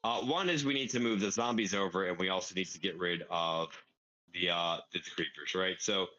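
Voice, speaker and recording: A man's voice: low-pitched, brisk, bright, forceful tone, highs cut off. A man explaining a game build casually, step by step, thinking aloud as he plays. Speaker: player explaining a farm build. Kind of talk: casual gaming tutorial.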